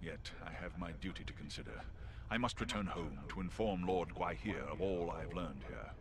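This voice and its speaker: A man's voice: deep voice, moderate pace, crowd noise. A man voicing a noble, dutiful giant eagle in a fantasy game, speaking formally and solemnly. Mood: solemn regret. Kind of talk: fantasy video game cutscene acting. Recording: music underneath.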